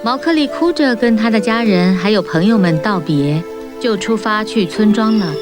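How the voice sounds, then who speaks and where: Female storybook narrator for children, mid-range voice, scripted read with clear backing music. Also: medium pitch, expressive, moderate pace.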